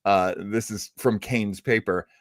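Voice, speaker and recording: A man hosting a radio show in casual chat, talking in a rambling, halting way. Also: lowish voice, steady tone, no echo, clean studio sound. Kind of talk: casual chat introducing old radio shows.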